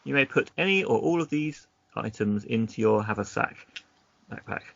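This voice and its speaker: A man's voice: on the low side, lively intonation, normal speed, vocal fry, lo-fi sound. A man narrating an adventure gamebook as game master, casually, breaking off into chatty asides.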